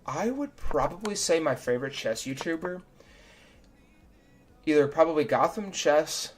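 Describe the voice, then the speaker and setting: A man's voice: on the high side, expressive, a little slow. Chatty young man breaking down chess moves. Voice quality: slightly raspy. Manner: chatty and self-critical, thinking aloud move by move. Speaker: chess streamer. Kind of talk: casual live commentary reviewing his own game. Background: faint background music.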